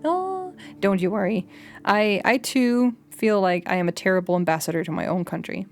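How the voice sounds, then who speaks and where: A woman's voice: medium pitch, animated, normal speed. A young Danish woman with a light Danish-tinged English accent, a streamer rambling casually with lots of fillers and restarts. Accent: light Danish, mixed English accents. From Denmark. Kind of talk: casual chat with live chat.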